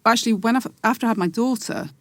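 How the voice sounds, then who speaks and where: A woman in her forties, a podcast guest, talking in a reflective, halting way with many pauses and restarts. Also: a little high, no room sound. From England. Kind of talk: casual interview.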